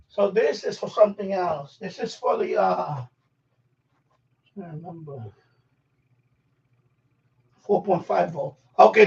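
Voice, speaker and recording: A man with a creaky, gravelly edge rambles through an informal live stream from a room at home. His talk is slangy and loose, half to himself. The sound is dull and lo-fi, picked up from a distance. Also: high-pitched. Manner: informal, slangy, swearing, talking to himself while handling objects. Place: room at home being set up as a radio shack.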